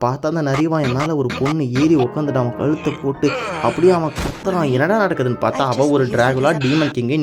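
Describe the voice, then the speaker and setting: A man's voice: medium pitch, darkish tone. A man recapping a story casually and informally, rambling along and mixing in English words. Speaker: YouTube anime recap narrator.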